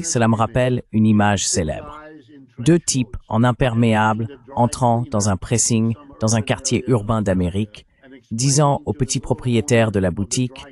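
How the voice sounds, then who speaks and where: Male synthetic voice-over, lowish pitch, for translated panel commentary; explanatory and rhetorical. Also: a little mellow. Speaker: political and economic commentator.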